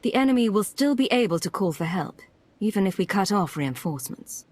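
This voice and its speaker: An actress playing a cool Imperial agent in a video game, controlled and authoritative. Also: female voice, mid-range voice, lively intonation, fairly quick, darkish tone, lightly acted. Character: cool, commanding female Imperial secret agent. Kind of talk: video game dialogue acting.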